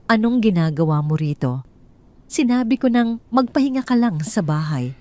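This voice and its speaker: A woman's voice: medium pitch, animated, moderate pace, darkish tone, voice pushed up, lightly acted. A woman voicing a furious, controlling husband, angry and commanding, in an audio drama. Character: furious controlling husband, caught cheating, ordering his wife.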